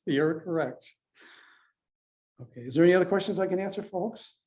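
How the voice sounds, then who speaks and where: A man giving an informal club presentation and answering questions as an organizer, with a halting, hesitant delivery. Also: high-pitched, a little mellow.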